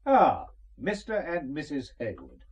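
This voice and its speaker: Suave, very animated gentleman. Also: fairly high pitch, measured pace, dull audio, slight background noise. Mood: breezy, suave. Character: suave, flamboyant gentleman admirer bearing champagne. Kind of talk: audio drama acting.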